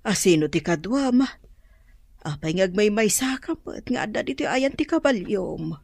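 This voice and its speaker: Anxious wife for radio drama: animated pitch, slightly rough husky tone, close dry recording. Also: female voice, normal speed, dry recording. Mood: questioning, anxious.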